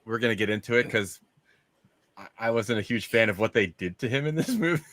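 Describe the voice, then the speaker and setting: A man's voice: fairly low pitch, expressive, brisk, a bit bright, no room sound. A man hosting a casual panel discussion about movies, steering the conversation. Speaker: host of a movie discussion show.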